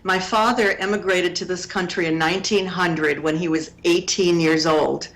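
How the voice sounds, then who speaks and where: Slow, deliberate older woman. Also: lowish voice, fairly even intonation, a bit bright, poor audio. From Connecticut, USA. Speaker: activist group leader, guest. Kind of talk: interview answers.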